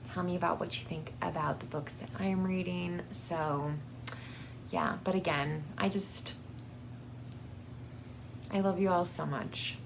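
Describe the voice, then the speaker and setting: A woman chatting casually as a book vlog host, in a halting, hesitant way that often trails off mid-thought. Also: deep voice, vocal fry, noisy background.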